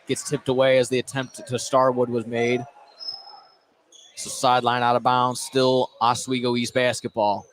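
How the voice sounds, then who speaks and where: A man doing live play-by-play of a high school basketball game on radio, with casual, loose phrasing and repeated words. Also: flat delivery, moderate pace, fairly bright tone, rough recording. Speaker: play-by-play sports announcer. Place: indoor high school gym during a game. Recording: live gym broadcast, clear background noise.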